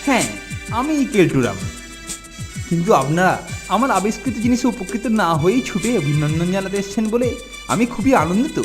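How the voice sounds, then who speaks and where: A boastful cartoon boy who fancies himself a great inventor , acted by an adult. The delivery is pompous and scheming, as if every line were a grand announcement. Music plays clearly behind the voice. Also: medium pitch, big pitch swings, fairly quick, pauses often, close to an everyday voice. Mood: pompous, pleased. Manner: pompous, self-important. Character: boastful, scheming older boy inventor selling hair tonic. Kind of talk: Bengali children's cartoon voice acting.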